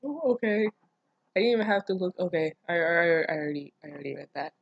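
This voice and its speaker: Sarcastic low-pitched woman reacting. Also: lively intonation, brisk, creaky, no echo, highs cut off. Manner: sarcastic, incredulous, profane, interjecting. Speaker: host reading fan fiction with commentary. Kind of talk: casual reaction read-aloud.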